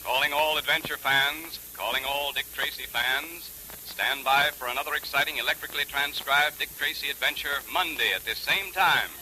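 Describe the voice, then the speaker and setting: A man's voice: on the high side, moderate pace, sharp tone, poor audio. A man announcing in the style of a 1930s police radio bulletin. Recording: hissy 1930s radio recording.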